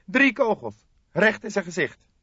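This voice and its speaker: A man's voice: sits high, sing-song, unhurried, pushed and bright, breathy edge, no echo, muffled recording, voice pushed up, full character voice. A man voicing a young male police detective, shaken and urgent, in an audiobook. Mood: shocked, urgent. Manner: breathless, urgent.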